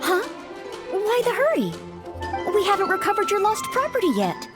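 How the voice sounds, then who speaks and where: Casting: young maid knight, high lilting voice, polite, earnest, eager to help, anime game style over music. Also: female voice, huge pitch swings, moderate pace, full character voice. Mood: concerned, kind. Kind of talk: scripted anime-style video game voice acting.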